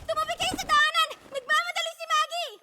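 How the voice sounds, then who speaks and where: A woman voicing a brash young female pizza delivery rider in a video game, boisterous and hurried, over loud music. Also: extremely high pitch, level tone, background noise. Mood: bossy, hurried. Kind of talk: game character voice lines.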